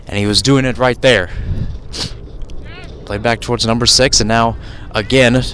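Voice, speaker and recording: A man's voice: mid-range voice, fairly bright tone, a little hiss or hum. A man giving live radio play-by-play of a soccer match from outdoors at the field, calling it in short running phrases with asides. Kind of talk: live radio sports commentary.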